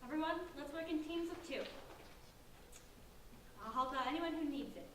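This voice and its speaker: A woman's voice: high voice, moderate pace, bright, forceful tone. A woman playing an encouraging teenage girl who leads her friends, in an amateur staged reading. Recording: very echoey hall, distant mic, faint noise.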